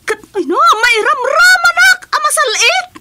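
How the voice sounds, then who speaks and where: A woman's voice: very high voice, expressive, a little slow, very noisy. A woman with an Ilocano accent playing a bossy, exclamatory female village captain in a radio comedy drama. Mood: scolding. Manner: exclamatory, scolding.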